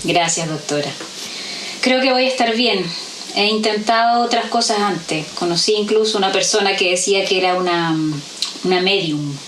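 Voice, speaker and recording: An actress playing a guarded, bereaved widow in an audio drama. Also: female voice, mid-range voice, moderate pace, a bit bright, close to an everyday voice. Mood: guarded, wry. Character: Valeria, guarded, bereaved widow seeking answers. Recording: echoey, distant, noisy sound.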